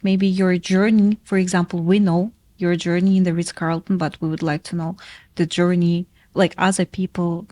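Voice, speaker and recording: A woman's voice: lowish voice, flat delivery, normal speed, darkish tone. Woman hosting a podcast, loose chatty questions, lots of likes. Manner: casual, loose phrasing with many fillers. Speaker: podcast host. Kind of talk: podcast interview.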